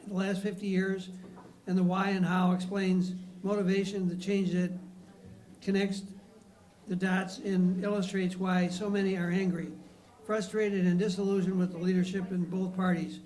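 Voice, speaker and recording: A man's voice: sits high, little pitch movement, moderate pace, darkish tone, rough recording. A man hosting a club meeting, reading announcements and introducing the guest speaker with hesitant fillers.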